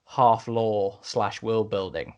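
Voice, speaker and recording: A man's voice: fairly low pitch, steady tone, takes it slowly, clear, resonant tone, muffled recording. A man talking casually as a podcast panelist, piecing his thoughts together with hesitant repetitions.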